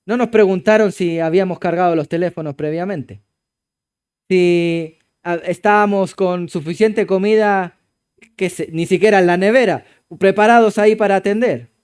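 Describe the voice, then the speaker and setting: A man with a Chilean accent preaching a sermon as pastor, emphatic and moving between loud exclamations and low hushed passages. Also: normal speed, clean tone. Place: church.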